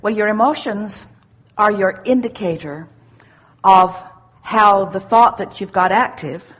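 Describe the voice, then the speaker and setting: A woman speaking as a spiritual teacher at a live seminar, answering questions in a deliberate, didactic way with emphatic pauses. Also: medium pitch, a bit bright.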